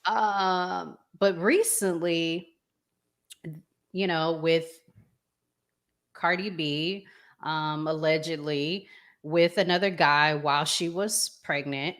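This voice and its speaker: Slow, low-pitched woman hosting a talk. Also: steady tone, a bit bright. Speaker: talk show host. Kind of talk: casual live-stream discussion.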